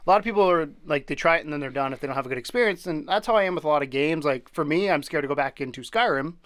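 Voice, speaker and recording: A man co-hosting a casual podcast, cutting in with quick jokey asides. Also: fairly high pitch, expressive, fairly bright tone, no room sound. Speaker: podcast co-host.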